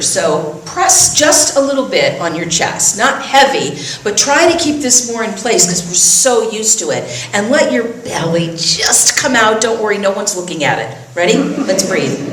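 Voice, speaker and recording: A woman's voice: mid-range voice, slight background noise. Chatty woman minister in a roomy hall. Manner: chatty, self-deprecating, gentle instructions. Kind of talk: guiding a breathing exercise in a service. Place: church sanctuary. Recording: roomy hall, voice from far off, faint ambience.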